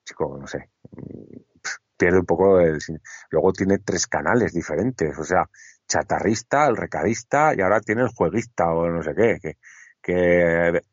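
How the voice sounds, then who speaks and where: A man's voice: low-pitched, no room sound. A man chatting casually as a co-host, repeating himself, full of fillers and restarts. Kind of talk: casual chat. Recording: dry, dull lo-fi sound.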